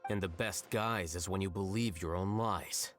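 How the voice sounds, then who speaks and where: Terse, analytical scholar for a video game: lowish voice, deadpan and cool. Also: male voice, moderate pace, soft background music. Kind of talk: video game drama acting.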